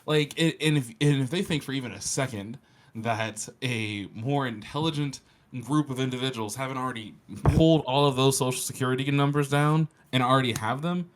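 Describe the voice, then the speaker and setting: A man's voice: medium pitch, brisk, a little hiss or hum. A man in casual tech banter, blunt and sardonic, repeating phrases for emphasis. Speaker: co-host.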